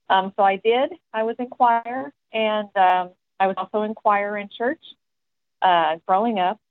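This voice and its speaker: A woman's voice: mid-range voice, no echo. Chatty woman on a tinny phone line. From Tennessee, US. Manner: chatty, rambling, many ums, occasional swearing. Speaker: metal band singer, interview guest. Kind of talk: casual interview answers.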